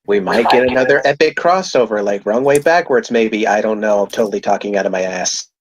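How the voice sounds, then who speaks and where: A man's voice: on the low side, a little fast, slightly rough. A man hosting a casual panel talk and moving it along through news items. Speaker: podcast host.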